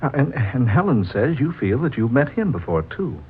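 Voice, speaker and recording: An actor playing a practical, plain-spoken friend with an informal, matter-of-fact manner in a 1940s radio drama. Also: male voice, medium pitch, sing-song, normal speed, natural voice. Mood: casual. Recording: muffled, noisy 1940s radio recording.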